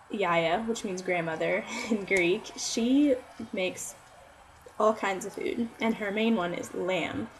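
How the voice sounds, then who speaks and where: A woman's voice: medium pitch, a little hiss or hum, low-quality recording. A young woman giving an informal class talk, upbeat and chatty, full of "like" fillers. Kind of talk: informal oral presentation.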